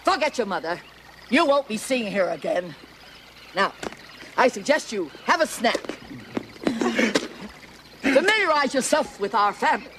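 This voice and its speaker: A woman's voice: a little high, very animated, measured pace, long pauses, noisy background. A woman playing a domineering, scornful mother toad in a theatrical fairy tale, mock-sweet in manner. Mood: bossy, scornful. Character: bossy, scornful mother toad scheming her son's wedding.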